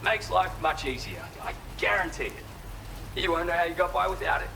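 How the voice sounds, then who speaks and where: A man's voice: high-pitched, brisk. An actor playing a laid-back, chatty male gear trader in a video game, talking in slangy, eager sales patter. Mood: upbeat, helpful. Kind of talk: video game cutscene acting. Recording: clear noise, rain behind the voice. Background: rain and ambient noise.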